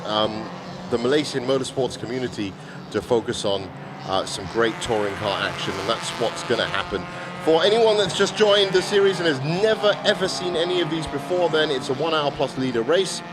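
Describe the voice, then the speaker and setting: A man with a slightly hoarse voice giving chatty, enthusiastic live motorsport commentary. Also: on the high side. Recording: loud engine and outdoor circuit noise. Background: cars and trackside ambience.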